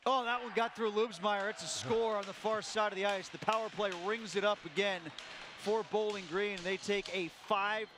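A man calling a live hockey game play by play, quick calls that jump up on every goal. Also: high-pitched, fairly even intonation, background noise. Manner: rapid calls that rise sharply on goals. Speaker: play-by-play announcer.

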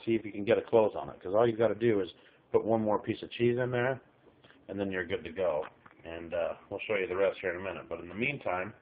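A middle-aged man casually explaining a recipe in a small kitchen, chatting to viewers while filming one-handed. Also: low-pitched. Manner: folksy, chatty instructions, talks to the viewer. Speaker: home cook filming a recipe. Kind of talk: casual cooking tutorial. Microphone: handheld camera filming overhead. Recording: tinny phone-like audio.

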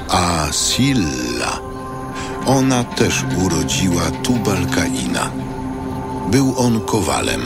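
Deep, slow male scripture narrator. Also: gravelly. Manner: solemn, measured storytelling. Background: loud music.

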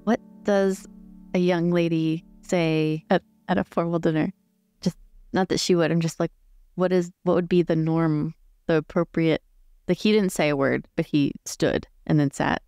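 A woman's voice: low voice, steady tone, moderate pace, mellow, clear, resonant tone, no room sound, studio quality, soft background music. A woman playing a tabletop roleplay game, casually narrating her character's choices and thinking aloud.

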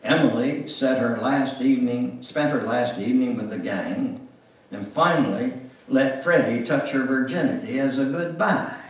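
An older man gives a deliberate dramatic reading of a memoir, with pauses. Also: medium pitch. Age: older, 70s or above. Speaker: guest speaker reading his memoir. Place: church sanctuary, standing at music stand. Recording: echoey church room, distant microphone.

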